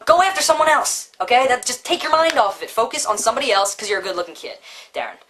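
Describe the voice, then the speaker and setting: A woman voicing a naive, earnest schoolboy puppet, a pushy friend who talks haltingly and repeats himself in comic dialogue. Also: a little high, lively intonation, fairly bright tone, slight background noise. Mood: earnest coaxing. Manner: halting, repetitive pep talk and baffled questions.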